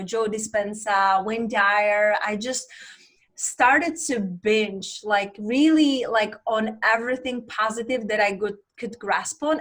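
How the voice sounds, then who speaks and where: A woman's voice: fairly high pitch, a bit bright. A woman in her thirties from the Czech Republic, with a Czech accent, telling her story earnestly in an interview as a life coach, with many fillers. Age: 30s.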